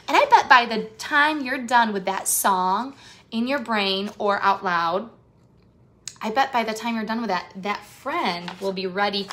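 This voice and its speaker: A woman reading a picture book aloud to children and chatting with young viewers as their host, encouraging them with direct questions and expressive, drawn-out phrasing. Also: fairly high pitch.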